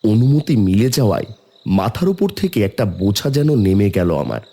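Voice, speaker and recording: A man's voice: on the low side, big pitch swings, moderate pace, warm tone. A man narrating a horror story in the first person as a somber young man, brooding and suspenseful. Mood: mournful, brooding. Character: somber young man recounting an eerie burial.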